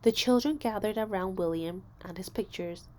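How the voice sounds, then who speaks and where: A woman narrating a children's story aloud, expressively, with deliberate phrasing and dramatic emphasis. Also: mid-range voice, mellow. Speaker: storybook reader.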